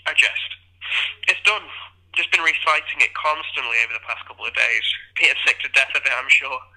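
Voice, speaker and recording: An actor playing a sardonic, blunt literary friend in an audio play, heard through a telephone filter. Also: male voice, mid-range voice, brisk, bright, forceful tone, low-quality recording. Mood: wry, casual. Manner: wry, blunt, argumentative.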